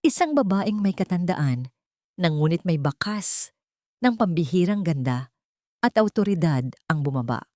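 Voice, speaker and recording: A woman's voice: lowish voice, animated, a little slow, darkish tone, no room sound, clean studio sound. A woman narrating a scripted audiobook drama in a dramatic storytelling style.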